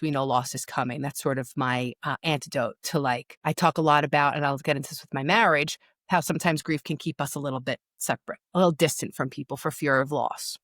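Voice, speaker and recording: A woman's voice: sits low, a bit bright, no echo, clean studio sound. A middle-aged woman from New York with a New York accent, telling her own story candidly and emphatically as a podcast guest. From New York, USA. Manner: candid, emphatic, repeats words for stress. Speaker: podcast guest, loss and empowerment coach. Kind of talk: personal storytelling in a podcast interview.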